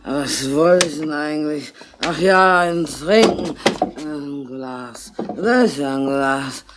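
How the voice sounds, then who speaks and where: An actress playing a drunken, stubborn, sorrowful bar keeper who rambles muddily in a 1960s radio play. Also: female voice, low-pitched, slow pace, heavy background noise. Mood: muddled, boozy. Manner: muddled, boozy rambling.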